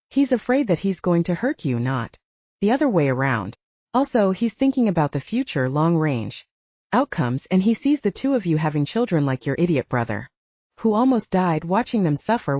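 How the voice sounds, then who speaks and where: A woman's voice: on the low side, a little mellow, no echo, muffled recording. Woman voicing a blunt werewolf butcher man.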